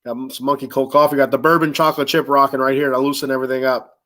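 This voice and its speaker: A man's voice: mid-range voice, little pitch movement, racing, pushed and bright. A middle-aged man with a stuffed-up nose chats informally and ramblingly as host of a casual sports fan livestream. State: stuffed up with a cold. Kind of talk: casual livestream chat, greeting commenters.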